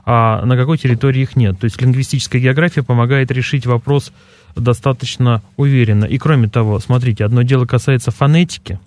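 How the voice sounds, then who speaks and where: A man appearing as a dialectologist guest in a radio studio, explaining his points in a measured way. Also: mid-range voice, fairly even intonation, darkish tone, no echo.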